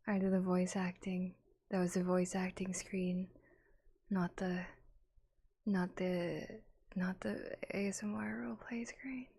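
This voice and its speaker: A woman chatting on a live ASMR stream, moving between breathy whispers and soft speech close to the microphone. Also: on the low side, level tone, measured pace. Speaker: streamer, ASMR roleplayer and voice actor.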